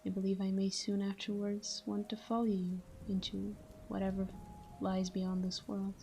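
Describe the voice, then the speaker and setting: A woman performs a devoted, melancholy female vampire in an intimate ASMR roleplay. Her voice is dark, soft and mellow, staying on a narrow, even pitch as she murmurs slowly and slips into whispers. Faint music plays behind her. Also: creaky, natural voice. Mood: melancholy, tender. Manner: hushed, slow, murmuring, slipping into whispers. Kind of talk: intimate ASMR-style audio roleplay monologue.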